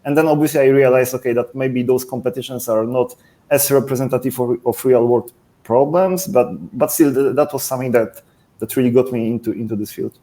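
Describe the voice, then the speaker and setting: A man in his thirties, a startup CTO, explaining his career plainly on a video call in a relaxed way with casual fillers. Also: mid-range voice, fairly quick. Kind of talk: panel discussion answers.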